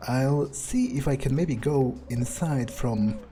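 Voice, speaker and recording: A man's voice: mid-range voice, slow pace, warm tone. A man improvising an in-character gameplay monologue as a sardonic vampire, musing hesitantly and casually to himself with dry, morbid humor. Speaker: let's player role-playing a character.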